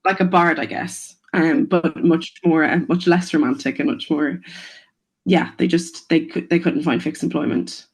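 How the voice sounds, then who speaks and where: Young woman with a gravelly edge. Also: low voice, fairly even intonation, normal speed. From Ireland. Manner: explanatory, gently informative. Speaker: guest. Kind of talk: conversational interview.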